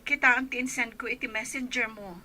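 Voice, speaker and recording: A woman's voice: on the high side, fairly even intonation, brisk, sharp tone. A woman playing an anxious, dutiful elder daughter in an audio drama. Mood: subdued, apologetic.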